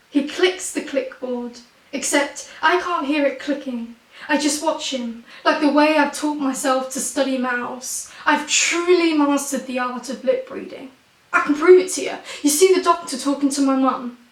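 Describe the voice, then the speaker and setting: A young woman performs spoken word poetry in a high, croaky voice that sounds stuffed up, as if her nose is blocked. Her delivery is wry and self-mocking, landing each line with punch. She sits back from the mic in a roomy, echoing space. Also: recorded from a distance. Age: 20s.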